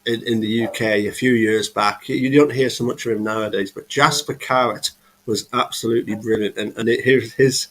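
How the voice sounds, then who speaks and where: A man from England with a voice of ordinary male pitch, hosting a relaxed chat over a video call. He talks hesitantly, filling pauses and restarting his sentences. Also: rough recording. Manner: hesitant, many restarts and fillers. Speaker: host. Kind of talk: casual live chat.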